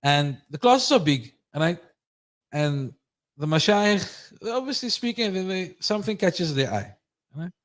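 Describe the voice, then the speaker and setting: A man's voice: sits high, unhurried. A man hosting a podcast, telling a story with energy and acting out the lines of the people in it. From not Egyptian. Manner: animated storyteller, acts out quoted lines. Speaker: podcast host. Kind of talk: casual conversation.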